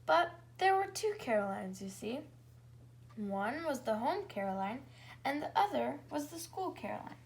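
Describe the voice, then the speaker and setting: A woman's voice: sits high, sing-song, normal speed, gravelly. A woman hosting a story time, reading a bedtime story aloud for children. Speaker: children's story reader and host. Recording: faint background noise.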